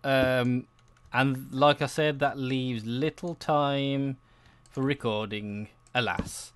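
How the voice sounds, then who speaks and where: Young guy rambling over a game, slow n hesitant, mid pitched voice, keeps breaking off his own sentences. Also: steady tone, clean tone. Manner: hesitant, meandering, self-interrupting, chuckly. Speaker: gaming video host. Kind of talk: casual rambling gameplay commentary.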